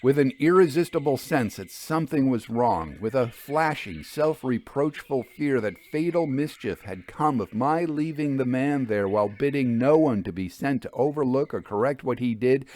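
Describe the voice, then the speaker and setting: A man narrating classic literature as an audiobook, in a measured, formal and theatrical way. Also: mid-range voice, expressive, a little mellow, a little hiss or hum.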